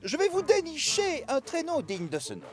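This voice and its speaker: Un comédien jouant un comte aristocrate agité, théâtral et autoritaire, dans un dessin animé. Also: male voice, very high voice, wildly swooping pitch, moderate pace, soft background music, full character voice. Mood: fussy. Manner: theatrical, bossy. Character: fussy aristocratic count, excitable.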